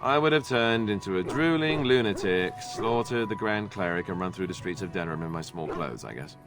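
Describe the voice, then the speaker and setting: An actor playing a wry, self-deprecating young warrior in a video game, talking in an easygoing, joking way. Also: male voice, low voice, animated, brisk, music in the background. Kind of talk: video game cutscene dialogue.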